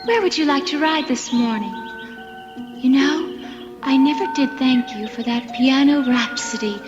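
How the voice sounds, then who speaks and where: A woman's voice: sits high, a little slow. An actress playing a dead young woman, eerily sweet and coaxing, in an old radio drama. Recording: muffled old radio recording, music underneath.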